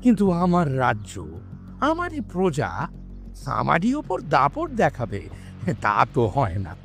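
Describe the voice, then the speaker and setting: A man's voice: on the high side, huge pitch swings, takes it slowly, fairly bright tone, faint music behind, exaggerated voice. A man playing a haughty, menacing village landlord in an audio drama, condescending and threatening. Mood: condescending menace.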